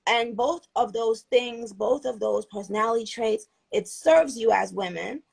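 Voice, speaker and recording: A woman's voice: a little high, measured pace, vocal fry, breathy edge. A woman in her thirties from Trinidad, chatting earnestly and gratefully on a phone livestream, rambling with restarts. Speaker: livestream host. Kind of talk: casual chat on a livestream.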